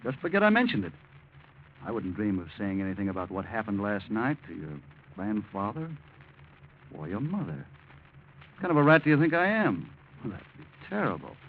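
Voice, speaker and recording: A man's voice: on the low side, sing-song, a little fast, pauses often. An actor playing a cocky young male blackmailer in 1940s radio drama style, slick, taunting and falsely reassuring. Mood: slyly reassuring. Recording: muffled, noisy old radio recording.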